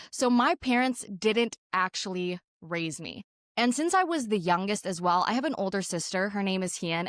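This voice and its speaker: A woman's voice: mid-range voice, fairly bright tone, dry recording, studio quality. A young woman from Canada hosting a podcast, telling a personal story in a candid way, with lots of "like" fillers. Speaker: podcast host.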